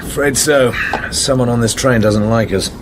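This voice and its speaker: A man's voice: normal speed, natural voice. A man playing a cool, guarded secret agent in a radio drama, speaking in a terse, controlled way. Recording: noisy radio drama, train rumble behind.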